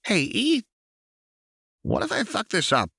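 A brash young woman fighter, cocky and teasing, voiced by a man in a noticeably higher register. Her lines swing up and down, and the recording is dry and close. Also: huge pitch swings, measured pace, gravelly, bright, forceful tone, husky, no room sound, full character voice. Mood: anxious.

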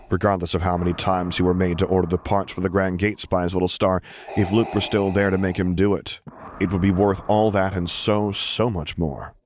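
A man reading fiction as an audiobook narrator, in an expressive scripted storytelling style. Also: low voice, noisy background.

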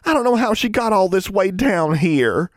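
A man's voice: on the high side, animated, moderate pace, dry recording, studio quality, voice pushed up. A man voicing a dramatic, superstitious young country girl who talks in wailing, folksy, ungrammatical speech for a storybook. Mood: elated.

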